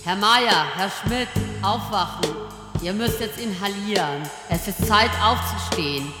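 A woman's voice: medium pitch, unhurried, close to an everyday voice. A woman in a radio play acting a bossy, fussy widow who orders her husbands about in a commanding, schoolmistress-like way. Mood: bossy, exacting. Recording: echoey hall reverb, music behind.

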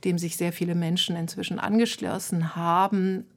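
A woman's voice: on the low side, fairly even intonation, normal speed. A woman moderating a panel debate, putting long, probing, journalistic questions to her guests.

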